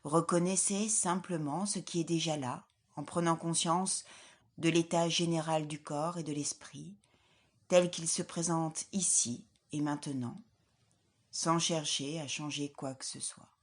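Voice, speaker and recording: Slow, low-voiced woman guiding relaxation. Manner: calm, soothing, measured delivery with soft breathy phrase endings. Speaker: yoga nidra instructor. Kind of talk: guided relaxation meditation, scripted.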